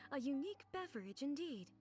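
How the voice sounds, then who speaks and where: A woman's voice: high voice, very animated, moderate pace. A woman playing a dignified, earnest, rather naive godlike ruler in a video game, speaking formally and with composure. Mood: composed, earnest. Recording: faint background music under the voice.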